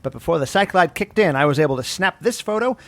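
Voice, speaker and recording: A man playing a theatrical doctor and secret agent in a comedic spy audio drama, explaining things gravely and technically. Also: a little high, animated, fast, fairly bright tone. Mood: grave, dramatic. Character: drugged male doctor secret agent recounting his ordeal, theatrical.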